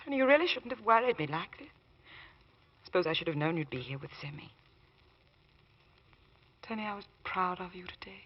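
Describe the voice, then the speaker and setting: Tender, loyal wife, old radio. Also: female voice, fairly low pitch, animated, fairly quick, long pauses. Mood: gentle. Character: loyal, tender, earnest wife encouraging her husband. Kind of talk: 1940s radio drama acting. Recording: dull old radio recording, music behind.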